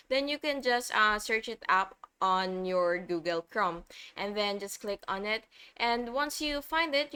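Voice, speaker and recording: Bright, clear-voiced woman giving a tutorial. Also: mid-range voice. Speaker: tutorial host. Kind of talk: step-by-step software tutorial narration.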